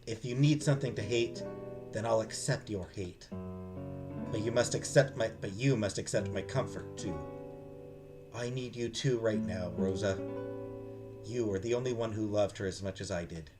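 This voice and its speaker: A man playing a stern but kind grieving man, speaking quietly and earnestly in a visual novel read-aloud. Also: lowish voice, normal speed, over music, lightly acted. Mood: tender grief.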